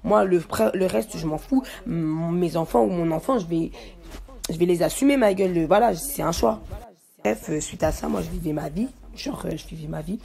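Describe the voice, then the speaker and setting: Young paris girl ranting to her followers, super slangy, gravelly fry in her voice, defiant, repeats stuff. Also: lowish voice, moderate pace, dark tone. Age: young adult. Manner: defiant, emphatic, repeats herself for emphasis. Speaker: social media personality telling her side of a story. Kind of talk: casual, slangy personal rant to followers.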